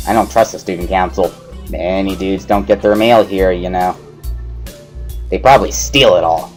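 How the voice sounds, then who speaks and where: A man voicing a paranoid, excitable male student in a visual novel reading, agitated, suspicious and ranting. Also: deep voice, moderate pace, faint music behind, lightly acted.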